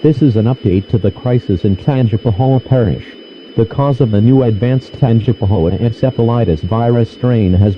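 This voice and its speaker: A fairly low male voice reads a formal emergency broadcast script. The delivery is stiff and synthetic, like an automated announcer. The radio sound is dull and lo-fi, with some noise behind it.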